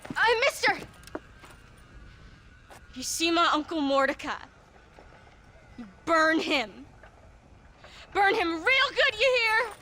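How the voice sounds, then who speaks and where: A woman's voice: extremely high pitch, animated, slow pace, long pauses, pushed and bright, full character voice. A woman playing a blunt, morbid young frontier girl with a drawl in a game cutscene. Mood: urgent. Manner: drawling, matter-of-fact about horrors. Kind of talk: video game cutscene acting.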